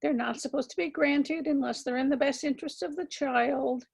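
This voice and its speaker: A woman with a scratchy voice giving a measured, emphatic legal lecture to attorneys, with frequent ums and words repeated for stress. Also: high-pitched, steady tone, vocal fry, darkish tone. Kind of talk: continuing-education lecture.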